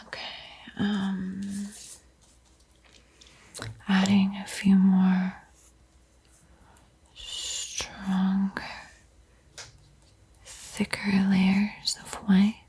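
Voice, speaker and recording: A woman playing a gentle vampire art teacher in a whispered ASMR painting roleplay, soothing and unhurried, lingering over words. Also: medium pitch, monotone, full character voice. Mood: calm, focused. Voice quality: breathy, mostly unvoiced whisper.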